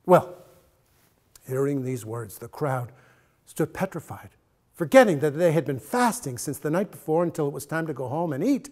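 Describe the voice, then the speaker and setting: A man in his sixties reads a story aloud in a theatrical style. His voice sits high for a man and moves very widely in pitch. He leans hard on key words and uses dramatic pauses. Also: expressive, a little mellow. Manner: emphatic, dramatic pauses and repetitions. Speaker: actor reading a short story aloud. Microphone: lapel mic.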